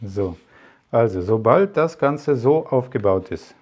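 A man's voice: medium pitch, expressive, a little slow, slightly rough, highs cut off. A man talking casually to camera as a tech vlogger, rambling with many fillers and asides. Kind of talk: casual product talk to camera.

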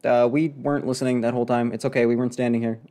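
A young man streaming a game with sarcastic, deadpan and exasperated commentary, close on a desk microphone. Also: mid-range voice, very fast. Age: young adult. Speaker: streamer playing and reacting to a game. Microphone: desk microphone close up, with headset.

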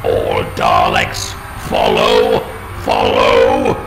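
A man's voice: monotone, very slow, vocal fry, exaggerated voice. A man playing a pitiless, commanding alien war machine in a sci-fi audio drama, with a metallic, robot-filtered growl. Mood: menacing. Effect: deep robotic voice filter. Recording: echoey, loud music underneath.

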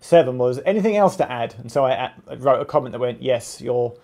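A man's voice: mid-range voice, lively intonation, a little fast, gravelly, a little mellow. A man in his thirties with a British accent, chatting casually and wryly as a podcast co-host. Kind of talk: casual chat. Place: home room, video call.

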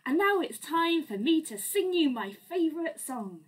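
A woman's voice: high voice, big pitch swings, moderate pace, voice pushed up. A woman voicing a silly, boastful robot monkey in a children's picture book, with playful exaggeration. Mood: silly, proud.

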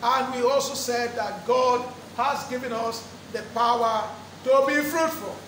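A middle-aged man preaching in a very high voice, stretching out key words and repeating phrases for emphasis. His voice reaches the mic from a distance and rings around a roomy church hall. A steady background noise sits under it. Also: measured pace. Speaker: pastor. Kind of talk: sermon. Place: church hall with draped walls. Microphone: lectern microphone.

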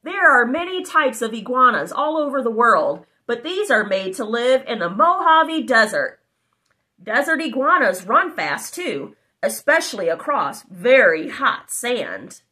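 Woman narrator for a kids' storybook, high and bright, unhurried, lots of exclamations and asides. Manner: animated, exclamatory, child-directed with asides. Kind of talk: reading a children's picture book aloud. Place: living room, indoors.

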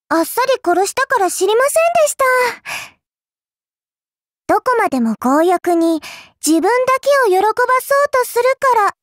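Small cute spirit girl for an anime game: extremely high pitch, sly and teasing, polite but smug, dry studio sound. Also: no room sound. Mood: scornful, teasing. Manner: polite, coy, teasing, cutesy. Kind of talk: anime game character voice lines.

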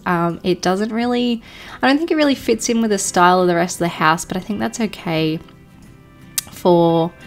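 Nasal woman chatting casually. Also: lowish voice. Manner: rambling, many ums and likes. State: nasally, blocked nose. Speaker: game build creator. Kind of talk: casual voice-over commentary on a game build. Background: soft background music.

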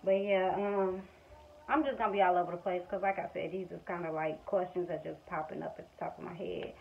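Woman, 20s, American accent, lowish voice, unscripted colloquial vlog chat, slightly muffled phone audio. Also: steady tone, a little fast, vocal fry. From Missouri, United States. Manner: colloquial, frank. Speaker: vlogger, stay-at-home mother. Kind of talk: casual off-the-cuff chat to camera. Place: small room at home, indoors. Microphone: phone at arm's length or propped nearby. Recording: dull lo-fi phone audio, faint background noise. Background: household background noise.

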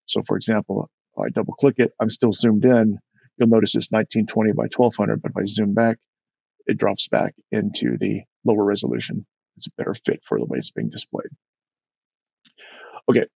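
Male tutorial instructor, husky and low, conversational step-by-step delivery, slightly dull dry recording. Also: dry recording. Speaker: software instructor. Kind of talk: casual screen-share tutorial.

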